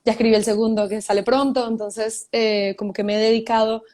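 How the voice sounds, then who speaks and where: Venezuelan woman guest, young, fairly high, talkative, light laughs, video call sound. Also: fairly quick. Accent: Venezuelan Spanish. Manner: chatty, laughs often, lively storytelling. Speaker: guest writer. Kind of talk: casual live video chat.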